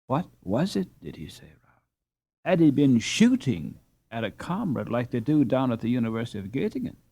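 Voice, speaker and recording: A man's voice: medium pitch, big pitch swings, normal speed, creaky, warm tone, dry recording, voice pushed up. A man voicing an anxious, plain-spoken Dutch housewife in an audiobook, fussing and soothing in folksy, old-fashioned speech. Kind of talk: audiobook character dialogue.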